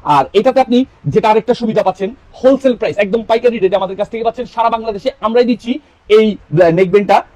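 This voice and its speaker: A man with a Bangladeshi accent giving a casual sales pitch as a product presenter for an online shop. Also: fast, darkish tone, no room sound.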